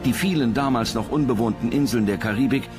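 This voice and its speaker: A man's voice: medium pitch, a little fast, music in the background. A man reading scripted documentary narration as the narrator.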